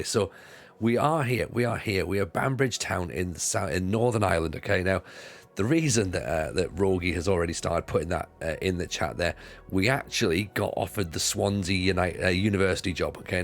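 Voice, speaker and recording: Low-voiced british guy, bit croaky, chatty streamer talking to his chat, soft music in the back. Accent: British English. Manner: chatty, rambling, repeats words, chuckles. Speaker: streamer playing a football management game. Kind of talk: casual live-stream chat with viewers.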